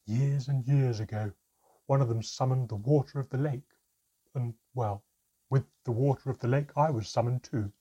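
A man voicing a tiny, proud female mermaid with a deep, strong voice and a sarcastic, sneering, bitter manner. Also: animated, moderate pace, warm tone, slightly rough, dull audio.

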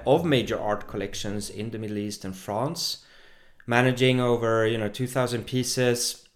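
A man hosting a podcast interview, talking in a halting way with many fillers as he introduces his guest. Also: medium pitch, fairly bright tone. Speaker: podcast host.

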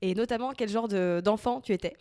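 Host femme, ton conversationnel, hésitations fréquentes, voix de hauteur moyenne. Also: female voice, mid-range voice, a little fast, mellow. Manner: chatty, many fillers. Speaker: podcast host. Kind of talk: casual interview chat.

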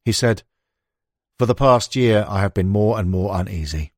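Male narrator, low and husky, scripted non-fiction sports read, very dry sound. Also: expressive, normal speed, dry recording. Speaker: audiobook narrator.

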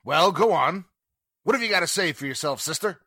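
An actor playing a tough, belligerent mob leader, gruff and challenging, in a 1940s radio drama. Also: male voice, on the high side, expressive, brisk, sharp tone, no room sound, studio quality, heavily acted. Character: tough, belligerent big lug leading a mob.

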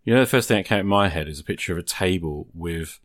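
A man's voice: low-pitched, fast talker, no room sound. A man playing an improvised tabletop game, talking casually and agreeably with his fellow player.

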